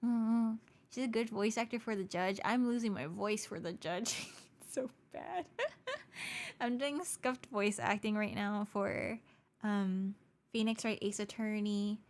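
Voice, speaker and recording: A young woman streaming live, chatting casually with viewers in a bubbly, giggly way while her voice is going hoarse. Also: mid-range voice, unhurried. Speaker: VTuber streamer. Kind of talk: casual livestream chat, switching between English and Japanese.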